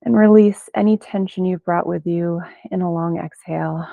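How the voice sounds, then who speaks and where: A thin, phone-like recording of a woman guiding a meditation. Her voice is slightly low and stays very even. She speaks gently in unhurried phrases, leaving long pauses. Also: creaky, no echo. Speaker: meditation guide. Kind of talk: guided meditation. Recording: band-limited, phone-like sound, dry.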